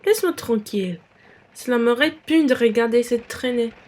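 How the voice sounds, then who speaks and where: A woman playing a haughty, spiteful bourgeois wife with a scornful, sharp-tongued manner in a French radio play. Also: fairly high pitch, normal speed, slight background noise, lightly acted.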